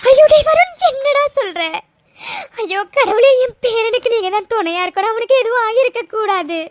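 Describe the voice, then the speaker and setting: Woman as weeping family matriarch, very high pitch, imploring, prayerful, melodramatic audio drama. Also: moderate pace. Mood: anguished. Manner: wailing, imploring. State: crying. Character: anguished elderly mother and grandmother praying for missing boy. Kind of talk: melodramatic audio serial acting.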